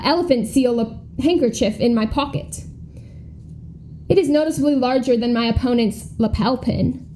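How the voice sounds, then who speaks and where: Young woman playing a high-pitched, pompous schoolgirl candidate. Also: dark tone, slight background noise. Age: young adult. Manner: speechifying, grandiose. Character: earnest, pompous schoolgirl candidate for class president. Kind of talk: comic radio play acting.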